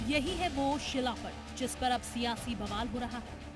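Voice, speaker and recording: A woman's voice: on the high side, a little slow, loud music behind the voice. A woman presenting scripted television news narration with a dramatic, emphatic delivery.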